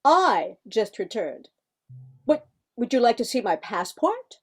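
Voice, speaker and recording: A poised young lady professor, played by an older woman in an amateur radio play. The voice is high and lively in pitch, with a composed, dryly teasing, pointed delivery. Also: slow pace, breathy edge, exaggerated voice. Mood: wry, self-assured.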